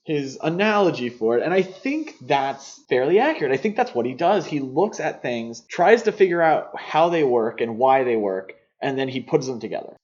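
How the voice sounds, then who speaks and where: A man's voice: mid-range voice, big pitch swings, moderate pace, few pauses, darkish tone, highs cut off. A man co-hosting a podcast, talking through films in a casual, analytical, explanatory way. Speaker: podcast co-host. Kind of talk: casual film discussion.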